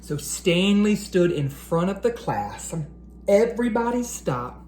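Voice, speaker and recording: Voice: medium pitch, very animated, slow pace, a little mellow, a little hiss or hum. A read-aloud host narrating storybooks and talking to children in an encouraging, teacherly way.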